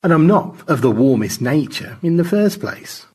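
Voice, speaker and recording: Audio story role, male reader: languid, grand gentleman spaceman, mannered and airy, rough husky tone, theatrically wide intonation. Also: fairly high pitch, big pitch swings, moderate pace, voice pushed up. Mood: airy, unruffled. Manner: florid, mannered, airily unflappable.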